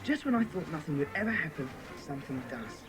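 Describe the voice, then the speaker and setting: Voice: medium pitch, sing-song, fast talker, music in the background, close to an everyday voice. An actor playing an eager, persistent British boy from a children's secret club in an audio drama.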